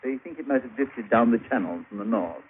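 Thin old radio sound with faint noise. A man plays a stern missionary gentleman, proper and indignant, his voice swinging expressively as he lectures in formal phrases. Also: mid-range voice, lively intonation, fairly quick, phone-quality sound, natural voice. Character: prim, stern, self-righteous English missionary gentleman. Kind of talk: 1950s radio drama acting. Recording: thin, faintly noisy vintage radio broadcast.

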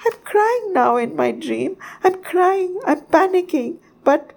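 A tiny, timid boy sparrow acted out by a woman in a storybook. The voice is very high and squeaky, with lively swoops of pitch. He pleads and repeats himself in a frightened fluster. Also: measured pace. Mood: panicked pleading. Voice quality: squeaky, chirruping. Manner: breathless repetition, stammering pleas.

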